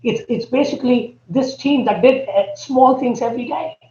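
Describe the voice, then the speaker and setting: A man with an Indian accent, a podcast guest laying out his points earnestly and deliberately. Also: very high voice, warm tone. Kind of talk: podcast interview. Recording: echoey, dull remote audio.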